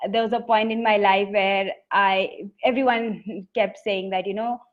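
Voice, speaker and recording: A woman's voice: mid-range voice, steady tone, measured pace. A woman poet with an Indian English accent, telling her story in an earnest, reflective interview. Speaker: poet and author guest. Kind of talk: conversational interview answers. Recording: dull lo-fi audio.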